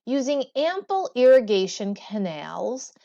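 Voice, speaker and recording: A woman teacher reading a textbook aloud in a deliberate, expressive way, with brief explanatory asides. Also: fairly high pitch, gravelly, lo-fi sound.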